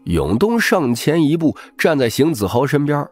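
A man's voice: on the high side, very animated, normal speed, soft background music. A man narrating scripted fiction as a dramatic audiobook reader.

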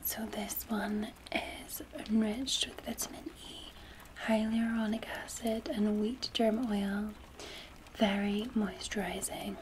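A woman with a Scottish accent softly whispering as an ASMR artist giving a gentle spa facial. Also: mid-range voice, flat delivery, a little hiss or hum, poor audio. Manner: soft, slow whispering, gentle caring attendant.

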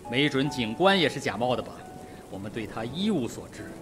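Overwrought doctor male actor for a radio whodunit: middle pitch, fretful, guilt-ridden, music running behind. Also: very animated, brisk, lightly acted. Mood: fearful suspicion. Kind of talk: Mandarin radio mystery drama acting.

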